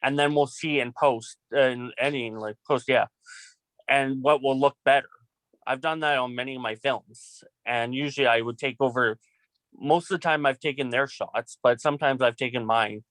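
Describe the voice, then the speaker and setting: A man's voice: medium pitch, steady tone, moderate pace, fairly bright tone, no room sound, poor audio. Man chatting casually in a dry space. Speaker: student filmmaker in an online class meeting. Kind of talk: casual group discussion.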